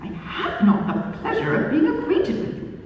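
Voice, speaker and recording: A woman's voice: high voice, huge pitch swings, crowd noise, pitched above the performer's own voice. A woman reading aloud, voicing a dignified older lady chaperone who answers politely but firmly, heard from afar in an echoey hall. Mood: composed. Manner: polite but firm, measured. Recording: echoey hall, mic far off.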